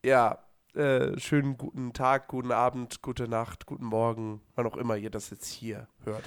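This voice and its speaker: A man co-hosting a podcast, hoarse from a cold, chatting casually with lots of fillers and restarts. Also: medium pitch, little pitch movement, moderate pace. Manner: rambling, many fillers and restarts. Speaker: podcast co-host. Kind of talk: casual chat.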